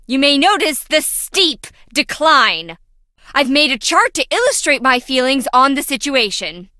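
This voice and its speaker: An actress playing a quirky, excitable young lab engineer in a video game, chatty, blunt and wry. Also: female voice, sits high, a little slow, no room sound, studio quality, exaggerated voice. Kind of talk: video game character voice acting.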